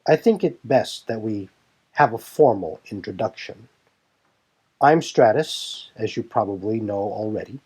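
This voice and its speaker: Man voicing Stratus, husky, composed young leader. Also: on the low side, animated, measured pace, a little mellow. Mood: welcoming, composed. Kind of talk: fan audio drama dialogue.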